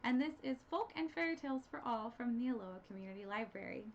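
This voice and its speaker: Expressive, higher-voiced woman telling a story. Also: quick pace, gravelly. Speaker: librarian storyteller. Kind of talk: scripted storybook narration. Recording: dull, slightly noisy recording.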